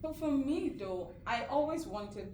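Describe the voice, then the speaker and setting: A woman's voice: high voice, lively intonation, measured pace. A young woman from Nigeria hosting a casual group chat, talking chattily with lots of fillers. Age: young adult. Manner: chatty, lots of "like" and "you know". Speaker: host. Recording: echoey room with background hiss.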